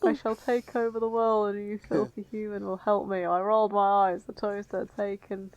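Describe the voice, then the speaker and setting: A woman's voice: medium pitch, level tone, moderate pace, a little mellow, dry recording. A woman co-hosting a podcast, bantering and reading aloud in a sweary, incredulous manner. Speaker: podcast co-host.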